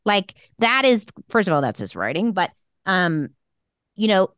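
A woman's voice: mid-range voice, very animated, unhurried, fairly bright tone, clean tone, dry recording, clean studio sound. A woman co-hosting a talk show, giving frank, emphatic advice to emerging writers in a casual conversational way. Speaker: podcast co-host and screenwriting mentor.

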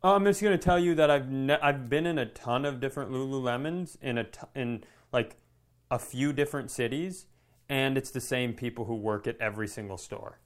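A man who works as a comedian, co-hosting a podcast with animated, exaggerated, sweary storytelling in casual banter. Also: medium pitch, a little fast.

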